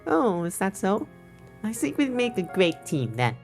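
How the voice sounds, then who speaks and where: An actress playing an excitable, sweet young woman in a visual novel game, gushing theatrically. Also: medium pitch, measured pace, creaky, soft background music. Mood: delighted.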